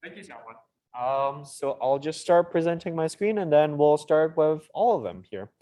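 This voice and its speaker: A young man with a fairly high voice hosts a student panel on a video call. He comes from Mauritius and talks informally, feeling his way through his phrases as he presents. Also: animated, normal speed, dark tone. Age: young adult. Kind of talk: informal online presentation, hosting.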